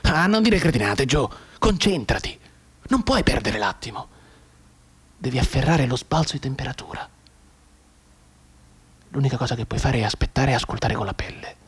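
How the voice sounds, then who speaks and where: A man playing a bedridden wounded soldier in a radio drama monologue, intense and soliloquising, with a breathy voice that can drop into whispers. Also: mid-range voice, animated, normal speed, pauses often. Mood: anxious, determined. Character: bedridden wounded young soldier, feverishly counting time.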